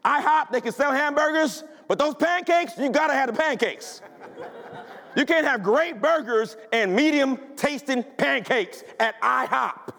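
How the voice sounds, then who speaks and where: Male pastor, very high voice, punchy conversational preaching, church with long echo. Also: a little slow, creaky, a bit bright. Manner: emphatic, conversational preaching with rhetorical questions. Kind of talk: sermon. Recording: long hall reverb.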